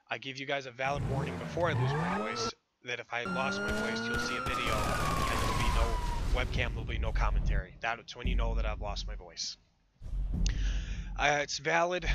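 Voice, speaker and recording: A man giving a casual, rambling, profane tutorial commentary while hoarse from losing his voice. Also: mid-range voice. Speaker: modding tutorial streamer.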